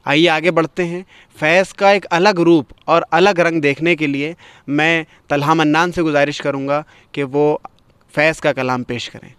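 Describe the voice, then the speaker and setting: A man's voice: fairly high pitch, fairly bright tone, smooth, dry recording. A man hosting a poetry gathering, giving literary commentary between recitations.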